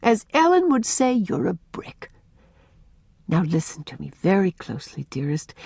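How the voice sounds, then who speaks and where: Woman reading as a gentle, soft-spoken young woman. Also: on the high side, breathy edge, no room sound, studio quality, voice pushed up, heavily acted. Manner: soft, tender, reassuring. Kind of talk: audiobook character reading.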